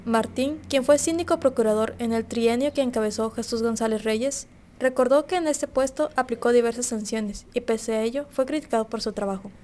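A woman's voice: a little high, a little fast, a little hiss or hum. Woman reading news narration. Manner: steady, formal reading. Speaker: news reporter.

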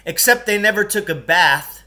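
A man's voice: high voice, lively intonation, moderate pace, sharp tone, pitched above the performer's own voice, full character voice. A man voicing a defiant, cocky schoolboy in a storybook, speaking bluntly and with emphasis. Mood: gleefully provocative. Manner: blunt, emphatic, mock-formal threats.

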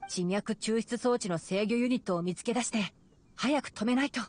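A woman voicing a calm, knowledgeable young fox-eared male ranger in a Japanese anime game, explaining things in a measured way. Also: a little high, close to an everyday voice. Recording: game music behind. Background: game music.

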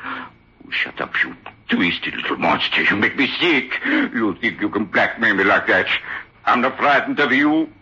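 An actor playing a tormented, paranoid Italian ventriloquist with an Italian accent and melodramatic broken English, in old-time radio drama style. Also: male voice, lowish voice, huge pitch swings, unhurried, bright, forceful tone, heavily acted. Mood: furious. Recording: dull, lo-fi old radio recording.

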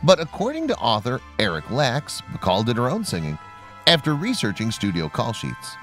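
A man reading scripted trivia narration in a measured, formal manner. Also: medium pitch, wildly swooping pitch, fairly bright tone, faint music behind.

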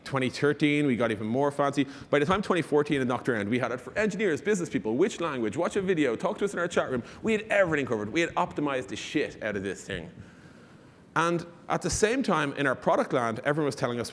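A man in his thirties giving an informal, emphatic conference presentation from a stage. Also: medium pitch. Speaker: conference speaker. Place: large conference stage, indoors. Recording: echoey hall reverb.

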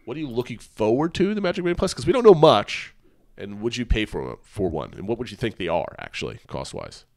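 A man's voice: medium pitch, sing-song, brisk. A man in his thirties co-hosting a podcast over a video call, nasal and congested from a cold, greeting listeners in an upbeat way. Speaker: podcast co-host. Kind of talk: casual podcast chat. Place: small room at home. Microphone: headphones and desk mic on a video call.

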